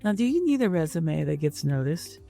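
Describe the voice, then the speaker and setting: A woman with a creaky voice, speaking conversationally as an interview host and career coach. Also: low-pitched, sing-song, fairly quick, mellow, soft background music.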